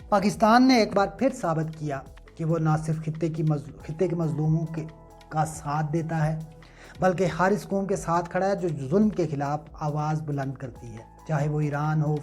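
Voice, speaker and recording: A man's voice: on the high side, a little mellow. A man gives political news analysis. His delivery is emphatic and rhetorical, partly read and partly off the cuff. Music plays behind him. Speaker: online news commentator. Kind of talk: news analysis commentary, part scripted, part casual asides.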